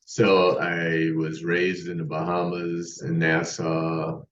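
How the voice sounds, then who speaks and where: Deep-voiced older man, distant and roomy. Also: completely flat delivery, slow, slight background noise. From United States. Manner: rambling, with many restarts and fillers. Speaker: podcast guest. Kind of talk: casual interview storytelling. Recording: distant roomy muffled remote mic.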